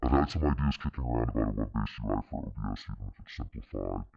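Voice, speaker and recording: A robot with a rock-bottom, rapid-fire voice, run through a voice changer. The filter leaves it thick with crackling fry. It chats casually in a streaming style. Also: male voice, rumbling low, dry recording, soft background music, voice pushed down, exaggerated voice. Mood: flat, casual. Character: robot voice, very deep machine talker. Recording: dull lo-fi filtered sound, synth-like tones.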